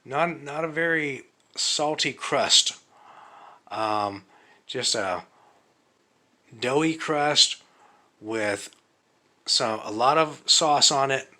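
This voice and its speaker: A man thinking aloud in a casual vlog, speaking slowly with many pauses and fillers, his voice slightly raspy from fasting. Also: mid-range voice, pushed and bright. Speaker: vlogger. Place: living room of an apartment at night.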